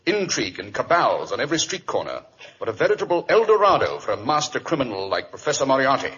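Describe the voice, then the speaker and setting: An actor playing a moody, sardonic English detective, declaiming in 1940s radio style with a British accent. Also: male voice, mid-range voice, very animated, quick pace, fairly bright tone. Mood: theatrical lament. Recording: dull vintage radio recording.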